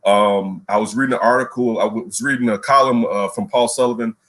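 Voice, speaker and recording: A man co-hosting a casual sports talk show, ranting in an animated way and repeating words when he gets worked up. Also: lowish voice, a little fast. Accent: Chicago. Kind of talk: casual radio show rant.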